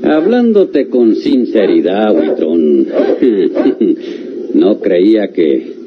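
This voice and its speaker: A hearty rustic with a rural Mexican accent and folksy manner. He talks extremely slowly in radio serial style, on a muffled, noisy old recording. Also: on the low side, sing-song, heavily acted. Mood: jovial. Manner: chuckling, folksy. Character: hearty, slow-talking rustic Mexican crony.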